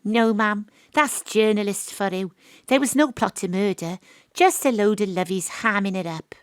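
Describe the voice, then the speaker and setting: An actress playing a sharp, knowing female private detective in an audio drama, confident and probing as she lays out her case. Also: medium pitch, normal speed, smooth, no room sound, studio quality, natural voice. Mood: dismissive. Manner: confident, probing, coolly persuasive. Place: home studio.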